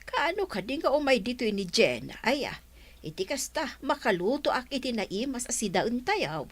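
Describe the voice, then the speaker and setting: Old grandmother, Filipino accent, doting, lilting, raised pitch, radio drama. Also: very animated, normal speed.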